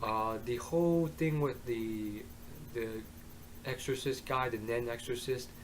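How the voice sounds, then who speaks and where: Young male vlogger, hoarse voice, rambling anime review, bedroom webcam, light hiss behind. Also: medium pitch, unhurried. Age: young adult. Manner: rambling, many ums and uhs. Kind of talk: casual vlog review. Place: bedroom, indoors. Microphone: webcam at desk.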